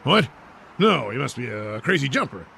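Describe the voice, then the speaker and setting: Man playing a bossy masked vigilante, gravelly, lively pitch, impatient, noisy recording. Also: moderate pace, full character voice. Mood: gruff. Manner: gruff, impatient, swearing. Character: crude, bossy adult male masked vigilante.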